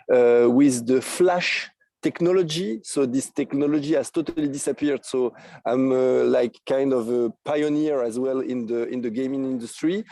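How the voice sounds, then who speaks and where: A man in his thirties with a strong French accent and French as his first language, a podcast guest telling his story eagerly with many halting fillers. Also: medium pitch, warm tone, dry recording. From France. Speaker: guest, NFT project creator. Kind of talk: podcast interview.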